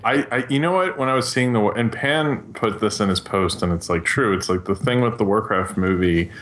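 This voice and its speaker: A man's voice: on the low side, moderate pace, fairly bright tone. A man chatting casually as a podcast panelist on an online call, often circling back with "like" as he argues. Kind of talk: casual chat, profane.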